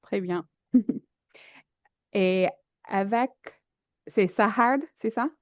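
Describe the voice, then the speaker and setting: A woman's voice: mid-range voice, extremely slow, no room sound, studio quality. A woman hosting a podcast interview, asking friendly questions, with a slight cold. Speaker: podcast host. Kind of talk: casual interview.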